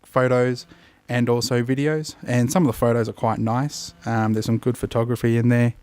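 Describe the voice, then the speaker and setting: An Australian man hosts a casual review in a middle-pitched voice. He talks through each point as he goes, a little hesitantly and in plain, explanatory terms. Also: little pitch movement, moderate pace, darkish tone. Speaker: host of an app review show.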